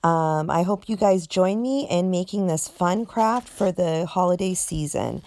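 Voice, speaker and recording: A woman's voice: fairly low pitch, animated, a little slow, a little mellow. A young woman vlogger with a scratchy, allergy-worn voice, chatting casually and rambling with lots of ums and likes. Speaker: craft vlogger host.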